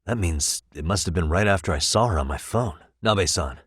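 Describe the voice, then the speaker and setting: Male private detective, low voice, American accent, determined, probing, dryly persuasive, game dialogue, dry studio sound. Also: animated, brisk, slightly rough, no echo. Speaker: video game voice actor. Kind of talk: naturalistic video game dialogue acting.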